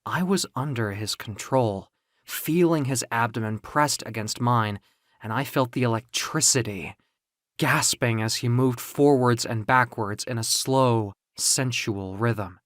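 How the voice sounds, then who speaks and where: A man narrating first-person audio fiction in a theatrical, expressive, confiding way. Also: medium pitch, measured pace, dry recording, clean studio sound. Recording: music under the voice. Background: music.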